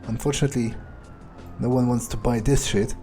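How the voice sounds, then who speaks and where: A man doing in-character game commentary, thinking aloud in a deliberate, dryly musing manner. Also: mid-range voice, steady tone, dark tone, soft background music. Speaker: let's player role-playing a vampire character.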